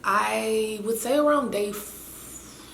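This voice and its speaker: A young woman with a raspy, winded voice, chatting in a rambling, self-mocking way to her camera. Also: a little high, slow pace, a bit bright. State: winded and hoarse after illness. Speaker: vlogger. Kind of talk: casual chat to camera. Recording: echoey room with faint hiss.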